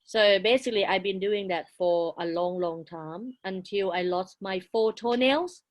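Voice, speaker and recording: Slow-talking woman, English her second language. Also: mid-range voice. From outside Australia. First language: not English. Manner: broken English, halting, many restarts and fillers. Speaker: guest. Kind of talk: casual interview, life story.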